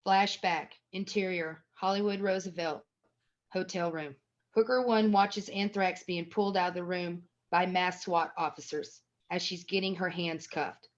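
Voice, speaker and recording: A woman's voice: on the low side, fairly even intonation, measured pace. A woman narrating a screenplay at a table read, reading scene headings and stage action as scripted narration. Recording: dull, lo-fi sound.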